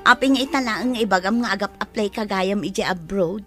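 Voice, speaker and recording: An actress playing an earnest, soft-spoken young pregnant woman in a radio drama. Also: mid-range voice, normal speed, soft background music. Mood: confiding.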